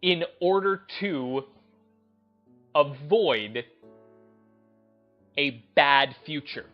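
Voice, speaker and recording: A man's voice: fairly high pitch, expressive, very slow, faint music behind. Man giving opinions, slightly dull lo-fi sound. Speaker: video game channel host giving opinions. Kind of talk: casual opinion commentary.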